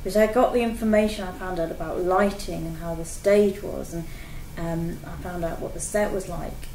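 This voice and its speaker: A woman telling a personal story to camera in an earnest, expressive way, as a theatre-goer. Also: lowish voice, moderate pace, slight background noise. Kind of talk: personal account told to camera. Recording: echoey room, mic at a distance.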